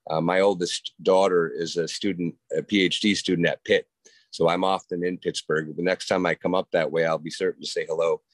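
A middle-aged man speaking on a group call, enthusiastic and deliberate. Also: sits low, steady tone, dry recording. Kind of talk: casual group call chat.

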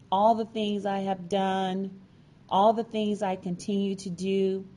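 A woman storyteller speaks at a slow pace in a mellow voice. She delivers a prepared personal, inspirational speech, phrasing it earnestly and deliberately, with measured pauses. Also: mid-range voice. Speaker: featured storyteller, sign language educator and advocate.

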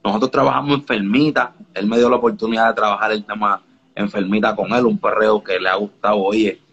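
A man's voice: lowish voice, steady tone, fairly quick, fairly bright tone, breathy edge, muffled recording. A man from the Dominican Republic, a music producer guest in a casual interview, talking in a laid-back way and often checking that the listener follows. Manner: laid-back, keeps asking "you get me?".

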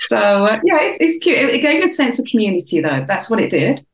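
An older English woman, an author, talking through memories in a relaxed, rambling way with many restarts, her London accent now softened. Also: a little high, fast. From England. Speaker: author and guest. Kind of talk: casual interview chat. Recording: roomy echo.

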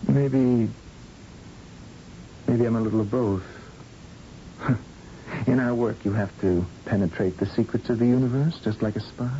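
An actor playing a secretive, commanding, calculating scientist-spy in an old-time radio drama. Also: male voice, mid-range voice, fairly even intonation, unhurried, pauses often, mellow, natural voice. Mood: reassuring, sly. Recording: muffled old radio drama, faint hiss.

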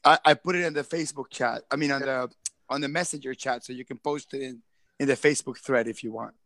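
A man's voice: medium pitch, fairly even intonation, fast talker, a bit bright, dry recording. A man co-hosting a podcast from the edge of his garage over a phone headset, laid-back and rambling. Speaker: podcast co-host. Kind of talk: casual live-stream chat. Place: edge of a garage, outdoors nearby.

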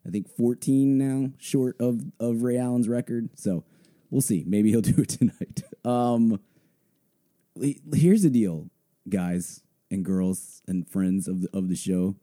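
A young man hosting a casual sports podcast, hoarse from a blown-out voice, rambling with many fillers. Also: lowish voice, fairly even intonation, measured pace, mellow. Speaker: podcast host.

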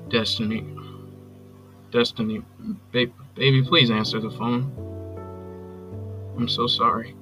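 An actor with an American accent playing a possessive, manipulative ex-boyfriend in an audio drama, coaxing and volatile. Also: male voice, fairly high pitch, very animated, normal speed, over music. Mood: remorseful pleading. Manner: volatile, coaxing with pet names, swearing. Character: possessive, manipulative abusive ex-boyfriend, pleading and menacing.

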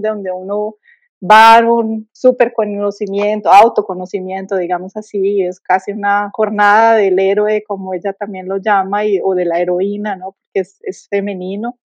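A woman's voice: mid-range voice, darkish tone, no echo, muffled recording. A middle-aged woman from Colombia with a Colombian accent, telling her story as a podcast guest, thoughtful with many restarts and fillers.

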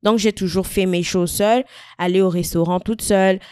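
A woman in her mid-twenties from Equatorial Guinea, talking thoughtfully to camera in a personal vlog, with frequent pauses and restarts. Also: mid-range voice, steady tone, mellow, smooth, dry recording, clean studio sound. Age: 20s. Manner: thoughtful, searching, many fillers and restarts. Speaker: vlogger. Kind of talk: reflective personal talk to camera.

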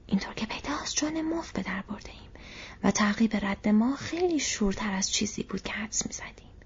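A woman voicing a gentle, weary male hobbit in an audiobook, cautious and thoughtful in a whispery, breathy voice. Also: mid-range voice. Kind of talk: audiobook character voice. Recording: dull, muffled lo-fi audio.

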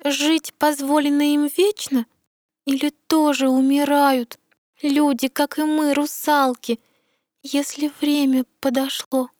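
A woman's voice: high voice, level tone, slow, darkish tone, no room sound, clean studio sound. A woman playing a wistful, yearning young mermaid princess in a verse audio fairy tale, earnest and questioning. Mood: anxious questioning.

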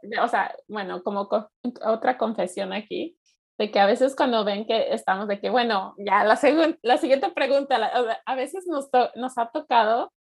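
A woman's voice: fairly high pitch, gravelly, slightly rough. Laughing woman podcast co-host. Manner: chatty, quick to laugh. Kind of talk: casual chat.

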